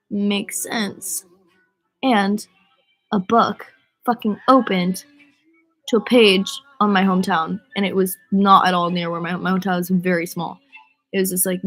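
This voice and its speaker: A woman's voice: lowish voice, a bit bright, poor audio. A young American woman with a stuffy cold, chatting casually with fans, rambling through stories full of "like" and "um". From United States. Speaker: singer answering fan comments. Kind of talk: casual chat on a livestream.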